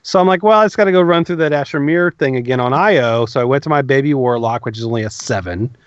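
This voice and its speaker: A man's voice: medium pitch, fast talker, dry recording, highs cut off. A man co-hosting a gaming podcast from a closet, telling rambling stories and laughing at himself. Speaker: podcast co-host. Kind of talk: casual podcast chat.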